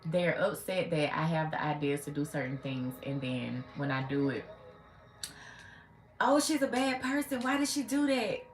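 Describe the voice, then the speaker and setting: Woman nearly forty, low voice, sassy, chatting live, echoey room, faint background hum. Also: expressive, normal speed. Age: 30s. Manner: sassy, talks back to commenters. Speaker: livestream host. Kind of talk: casual live chat with viewers.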